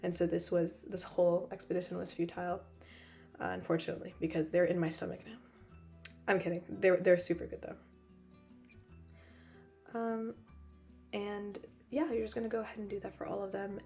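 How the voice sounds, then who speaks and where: A young woman with a hoarse voice, still recovering from losing it, narrating a cooking video in a chatty, joking way with frequent laughs. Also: fairly low pitch, little pitch movement, normal speed, pauses often, a little mellow, faint music behind. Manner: chatty, joking, laughs often, rambling asides. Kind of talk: casual voice-over narration.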